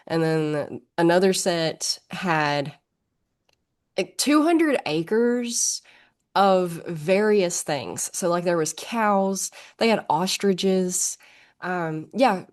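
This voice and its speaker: A woman from northern Alabama speaks with a thick Southern accent, chatting as a guest on a podcast. She tells her stories quickly and easily, and her voice sits notably low. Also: darkish tone. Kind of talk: casual conversation.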